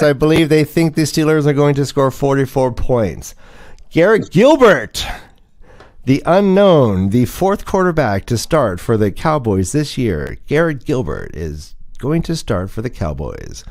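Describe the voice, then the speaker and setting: Casual male fantasy football show host, theatrical emphasis. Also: on the high side. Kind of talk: casual sports talk show hosting.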